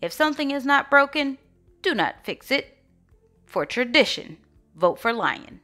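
A woman's voice: sits high, very animated, unhurried, bright, forceful tone, soft background music, pitched above the performer's own voice, exaggerated voice. Stately, proud storybook lion king, read by a woman. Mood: regal, proud.

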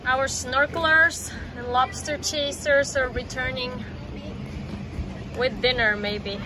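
A woman's voice: high voice, fairly even intonation, takes it slowly, crowd noise, rough recording. A woman narrating a casual travel vlog in a wry, playful way, outdoors on a sailboat. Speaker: sailing vlogger. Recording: loud wind and boat noise. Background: wind, water and boat noise.

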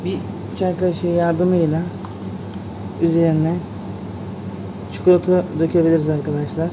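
A bartender in his thirties walks through a recipe in a plain, level voice, one step after another. He is recorded on a phone set up across a hotel bar, so the sound is a bit distant. Air conditioning noise hums clearly behind him. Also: a little high, little pitch movement, normal speed. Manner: plain, repeats "arkadaşlar" as he narrates each step. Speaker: bartender host. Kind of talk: step-by-step cocktail demonstration.

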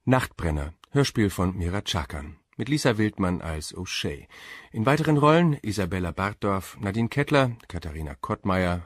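A man's voice: deep voice, dry recording. A man reading scripted radio drama credits as announcer in a formal, matter-of-fact way. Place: dry studio.

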